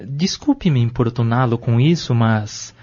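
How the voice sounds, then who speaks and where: A man's voice: mid-range voice, expressive, unhurried, darkish tone. A man playing a curious, respectful young man in an audio novel, with a Brazilian accent. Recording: dull lo-fi audio drama recording.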